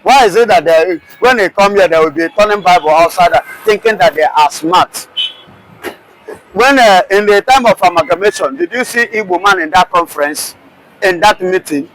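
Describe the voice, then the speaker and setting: A man's voice: high-pitched, normal speed, a bit bright, rough recording. A man with a Nigerian accent, arguing passionately in a street interview with many rhetorical questions and restarts.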